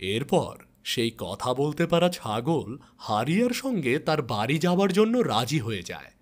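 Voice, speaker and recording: A man's voice: medium pitch, very animated, normal speed. A man narrating a scripted moral tale for children in a dramatic storytelling style.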